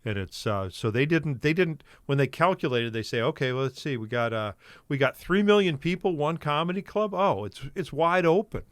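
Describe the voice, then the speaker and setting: A man's voice: fairly high pitch, lively intonation, moderate pace, no room sound, studio quality. An older man, a guest comedian, telling rambling stories in a casual podcast chat with lots of fillers and restarts.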